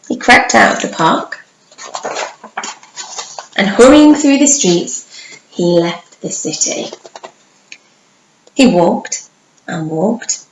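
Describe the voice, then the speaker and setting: A woman reads a children's storybook aloud in a slightly high voice. She takes it slowly, stretching words and pausing for effect. The room is echoey, with faint noise behind her. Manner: measured, dramatic pauses and drawn-out words for young listeners. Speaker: primary school teacher reading a story.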